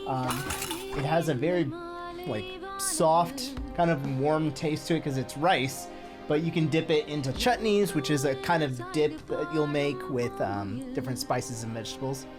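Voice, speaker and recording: A woman's voice: sits low, lively intonation, moderate pace. A woman whose first language is Telugu, streaming a game and chatting casually as she explains dishes to viewers. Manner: chatty, explaining, with fillers and laughs. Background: game music.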